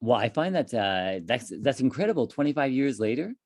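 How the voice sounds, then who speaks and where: A man's voice: medium pitch, a little fast. A man, an English teacher chatting casually with his student and asking lots of questions. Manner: chatty, repeats and restarts, lively rising questions.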